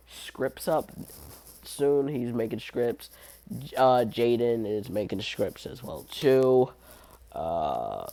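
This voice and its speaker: Hoarse sick young guy, slow unhurried vlog rambling, crude slang, coughs a lot. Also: mid-range voice, mellow. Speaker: YouTube voice creator. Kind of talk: casual vlog chat.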